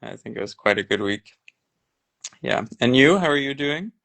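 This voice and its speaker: A worn-out man joining a live stream as a guest, talking in a relaxed, rambling way with lots of fillers. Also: mid-range voice, moderate pace, gravelly. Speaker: guest advocate. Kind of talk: casual live stream chat.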